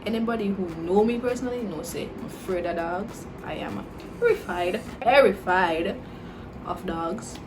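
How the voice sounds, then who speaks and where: A woman's voice: mid-range voice, normal speed. Chatty young Caribbean woman, noisy recording. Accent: Caribbean English mixing Jamaican and Dominican. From Caribbean, Jamaican and Dominican roots. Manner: chatty and relaxed, lots of "like" and "you know" fillers. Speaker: YouTuber answering viewer questions. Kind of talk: casual Q&A vlog chat.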